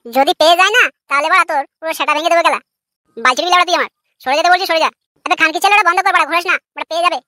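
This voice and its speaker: Woman acting a shrill, cheeky cartoon boy. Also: very fast, bright, forceful tone, no room sound, clean studio sound. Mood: boastful, threatening. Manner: brash, argumentative, swearing. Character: cheeky foul-mouthed squabbling village boy. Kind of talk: comic cartoon dialogue.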